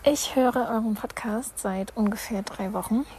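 A woman's voice: on the high side, measured pace. A woman in her thirties telling a personal story in a voice message, hesitant, with many fillers. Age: 30s. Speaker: caller sending a voice message. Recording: loud background noise.

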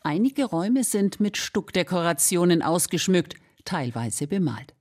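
A woman's voice: on the low side, very animated, a little slow, darkish tone. A woman reading scripted narration for a radio feature as its reporter.

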